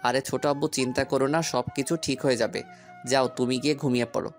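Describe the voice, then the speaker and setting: A man's voice: medium pitch, fairly even intonation, quick pace, close to an everyday voice. A man playing a dutiful young servant and driver in an audio story, polite and deferential, also narrating in first person. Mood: reassuring. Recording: music bed under voice.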